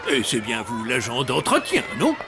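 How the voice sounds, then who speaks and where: A man's voice: medium pitch, huge pitch swings, moderate pace, loud music behind the voice. A man voicing a courteous male hotel director. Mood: polite. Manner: courteous, requesting.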